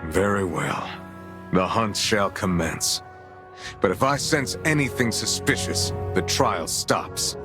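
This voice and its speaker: Stern regal ruler in a video game, formal and deep-ish, music behind him. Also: male voice, animated, slow pace. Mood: stern, wary. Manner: formal, grave, commanding. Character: stern, wary, regal male leader. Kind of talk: dramatic video game voice acting.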